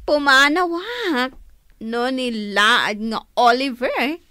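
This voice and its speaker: An actress playing an insolent, taunting young wife in an Ilocano radio drama, with an Ilocano accent. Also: female voice, high voice, lively intonation, extremely slow, sharp tone, dry recording, clean studio sound, heavily acted. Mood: mocking.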